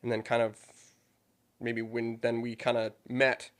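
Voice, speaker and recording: A man's voice: medium pitch, completely flat delivery, measured pace. A young man hosting a church podcast as youth pastor, chatting casually and joking with his guest.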